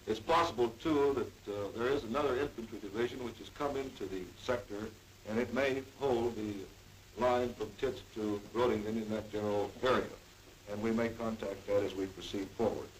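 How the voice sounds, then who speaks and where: American male officer for a staff briefing. Lowish voice, matter-of-fact, off-mic on old hissy film. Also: fairly even intonation, a little fast. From United States. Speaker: army intelligence officer briefing a superior. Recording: 1940s newsreel, distant mic, faint hiss.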